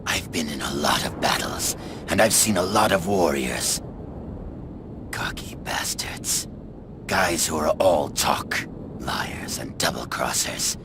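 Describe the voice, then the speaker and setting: An actor playing a hardened, world-weary male warrior in a game cutscene, gravelly, cynical and brooding, often close to a whisper. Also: extremely low pitch, expressive, noisy background, full character voice. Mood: brooding, bitter. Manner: slow, brooding delivery, often dropping near a whisper. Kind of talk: dramatic video game cutscene acting and narration.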